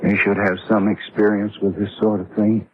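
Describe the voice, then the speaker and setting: An actor playing a grave, knowing doctor at a jungle outpost in an old radio horror drama, explaining in a measured, serious way. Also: male voice, fairly low pitch, little pitch movement, slightly rough. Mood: somber, uneasy. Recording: noisy, muffled old radio drama recording.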